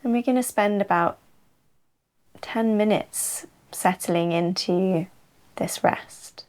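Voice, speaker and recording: A woman leading a guided yoga nidra relaxation as the teacher, in an unhurried, soothing way with long pauses. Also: medium pitch, a little mellow. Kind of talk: slow guided relaxation narration.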